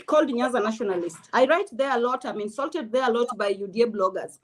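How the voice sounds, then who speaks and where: High-voiced outspoken woman. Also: fast, slight background noise. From Kenya. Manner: outspoken, emphatic, blunt. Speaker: guest, political commentator. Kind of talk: interview, political opinion.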